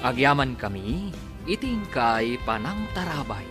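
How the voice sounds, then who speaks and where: A man announcing a radio drama from a script in dramatic, measured phrases. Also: medium pitch, sing-song. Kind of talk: scripted announcing over music. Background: music.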